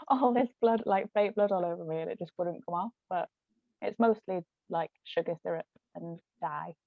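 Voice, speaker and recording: A woman's voice: on the low side, a little slow, creaky, no echo, narrow, tinny audio. A woman chatting casually and animatedly as she tells anecdotes in an interview, giggly and peppering her talk with "like". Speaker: author and stage actress, interview guest.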